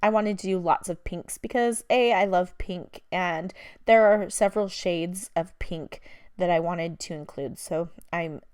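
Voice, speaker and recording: A woman in her thirties talks through her craft project in a relaxed, chatty voice-over, wandering off now and then and filling gaps with "um" and "uh". Her voice sits a little low and sounds slightly hoarse from a lingering cold. The recording is close and dry, with no room sound. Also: a little slow, no room sound. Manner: rambling and chatty, with many fillers. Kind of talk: casual voice-over narration of a crafting video.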